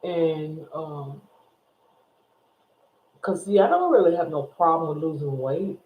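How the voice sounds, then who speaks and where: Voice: mid-range voice, expressive, slow pace. A speaker in their sixties chatting casually to viewers, rambling and repeating phrases as they go. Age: 60s. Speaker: YouTube vlogger. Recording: echoey room over a tinny phone-like line.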